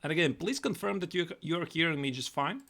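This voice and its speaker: A man's voice: on the high side, expressive, brisk, bright, forceful tone. A man with a Latvian accent chatting casually on a live chess stream, repeating and restarting his words.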